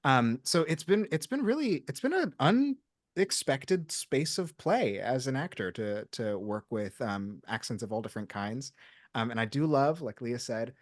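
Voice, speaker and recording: A man from Brazil, an audiobook narrator, talking in a lively, self-mocking way on a panel. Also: medium pitch, moderate pace, dry recording, studio quality. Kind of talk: panel chat.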